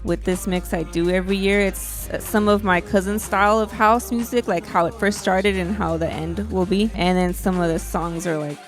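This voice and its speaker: A woman DJ with a soft, mellow voice, talking through her mix in a quiet, understated way during a casual interview. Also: medium pitch, steady tone, normal speed, few pauses, soft background music. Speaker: DJ guest.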